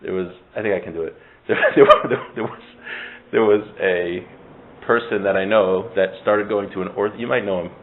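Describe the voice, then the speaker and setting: A man's voice: fairly low pitch, steady tone, normal speed, slight background noise. A man teaching an informal Torah class in a conversational, joking, self-interrupting way, sprinkling Hebrew terms into his talk. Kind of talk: informal religious lesson with discussion. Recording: thin phone-like audio.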